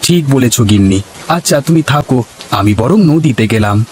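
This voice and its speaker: A man voicing an honest, hardworking village fisherman in an animated folk tale, in a homely, expressive way. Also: medium pitch, a little fast, lightly acted. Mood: reassuring. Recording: faint background noise.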